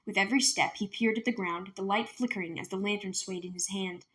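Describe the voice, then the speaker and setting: A woman with a mid-pitched voice narrates a children's book. She reads as a storyteller, in scripted read-aloud prose. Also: fairly even intonation, brisk, fairly bright tone.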